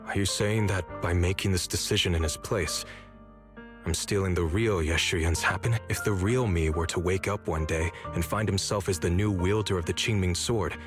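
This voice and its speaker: Man voicing a low, determined swordsman brother. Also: moderate pace, close to an everyday voice. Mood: insistent. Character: determined, self-sacrificing swordsman, protective older brother. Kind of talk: video game voice acting. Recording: game music behind the voice.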